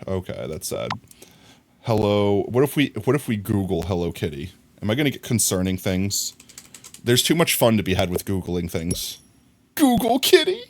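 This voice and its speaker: A man streaming a crafting game live, talking to his chat and thinking out loud, with sudden excited high yelps. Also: a little hiss or hum.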